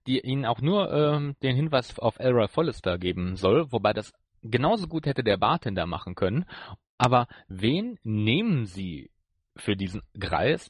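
A man co-hosting a podcast in casual chat about an audio drama, talking haltingly as he thinks aloud. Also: medium pitch, big pitch swings, breathy edge, no echo, clean studio sound. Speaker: podcast co-host.

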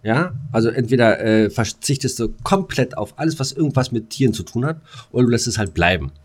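A man's voice: mid-range voice, lively intonation, brisk. A man co-hosting a casual German podcast chat, speaking in a blunt, opinionated, colloquial manner. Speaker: podcast co-host.

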